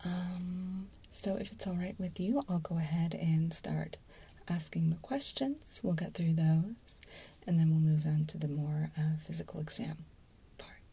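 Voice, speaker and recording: A low, husky woman acting as a mild clinical intake assessor in an ASMR role-play. She whispers softly and breathily, working through things in a methodical, matter-of-fact way with small hesitations. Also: steady tone, a little slow, heavily acted. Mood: calm, businesslike. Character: mild, businesslike female alien-abduction intake assessor. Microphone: close microphone.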